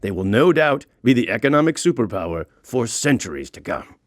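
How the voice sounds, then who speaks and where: A man's voice: mid-range voice, sing-song, fairly quick, no echo, clean studio sound, voice pushed down, close to an everyday voice. Man playing a proud, swooping Dutch prince of old. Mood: proud, mock-solemn. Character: William the Silent, proud indignant 16th-century Dutch prince. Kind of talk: comic audiobook monologue in character.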